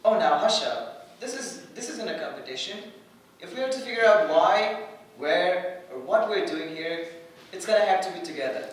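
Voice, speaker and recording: Man playing Aya, a high-voiced, fussy air spirit. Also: animated, moderate pace. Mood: prim, chiding. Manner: fretful, prim, chiding. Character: fussy, anxious, chatty air elemental named Aya. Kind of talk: staged play reading. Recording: echoey room from far off.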